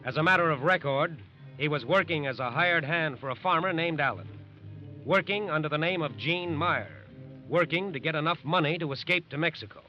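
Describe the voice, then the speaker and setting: A man's voice: on the high side, expressive, a little fast. A man narrating a 1940s radio crime drama in an emphatic, suspenseful scripted style. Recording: muffled old radio recording with music. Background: music.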